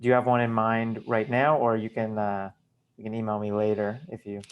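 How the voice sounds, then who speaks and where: Male podcast host, lowish voice, polite, measured, casual interview. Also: flat delivery, smooth, lo-fi sound. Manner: polite, measured, thanking the guest.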